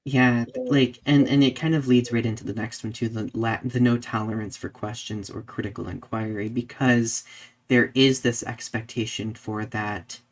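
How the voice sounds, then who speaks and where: A man co-hosting a live podcast in casual discussion, halting and peppering his talk with "like" and questioning tags. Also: medium pitch, steady tone. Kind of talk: casual discussion, reading viewer comments.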